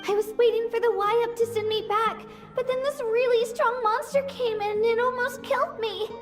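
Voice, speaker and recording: A woman's voice: extremely high pitch, steady tone, moderate pace. A woman voicing a small, humble, frightened young girl trying to be brave in a video game, speaking hesitantly and earnestly. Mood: anxious, earnest. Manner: hesitant, earnest, self-effacing. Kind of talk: English video game voice acting. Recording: music behind the voice.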